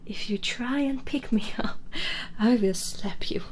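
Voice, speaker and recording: A woman playing a proud, possessive vampire baroness in an intimate ASMR audio roleplay, imperious and breathy. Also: fairly high pitch, a little slow, natural voice. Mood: weary, proud. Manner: breathy half-whispers, imperious and teasing.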